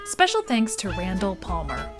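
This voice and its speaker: A woman's voice: mid-range voice, huge pitch swings, moderate pace, over music. A woman hosting a casual interview, asking questions in a conversational style. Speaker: podcast host, interviewer.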